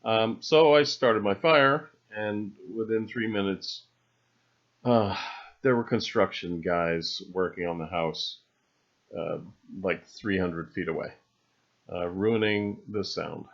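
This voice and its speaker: A man narrating an unscripted, rambling voice-over in a halting, musing way, with dry, understated humor. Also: fairly low pitch. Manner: halting, musing delivery with long trailing phrases. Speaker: video narrator. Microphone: separately recorded voice-over. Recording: dull, lo-fi voice recording.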